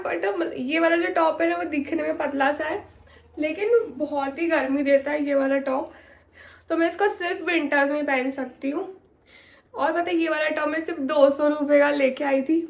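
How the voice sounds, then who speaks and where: Young woman vlogger from India, sore throat, high gravelly voice, rambling chat. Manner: chatty, rambling, many fillers. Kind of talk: casual chat to camera. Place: at home in her bedroom.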